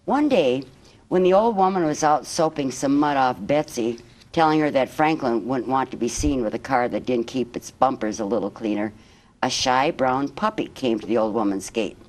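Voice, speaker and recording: A woman reading a children's picture book aloud as a guest storyteller, in measured, expressive phrases. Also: a bass voice.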